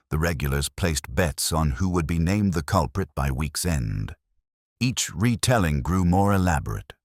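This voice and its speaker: A man reading scripted mystery fiction in a measured, literary storytelling manner. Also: low voice, no room sound, studio quality. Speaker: narrator.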